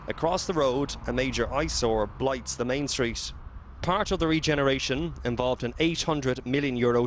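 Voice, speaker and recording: A man reading a scripted television news report in a measured way. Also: medium pitch, a little hiss or hum. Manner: measured, even.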